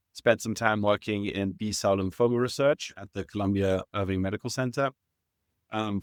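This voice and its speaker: A man in his thirties from South Africa with a South African accent, explaining his work at a measured pace as an interview guest, with some fillers and restarts. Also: fairly low pitch, smooth, no echo, clean studio sound. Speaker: guest, startup founder. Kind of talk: conversational interview answers.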